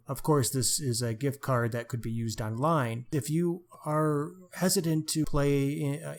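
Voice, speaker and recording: A man co-hosting a podcast, talking casually about crime books. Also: mid-range voice. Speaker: podcast co-host.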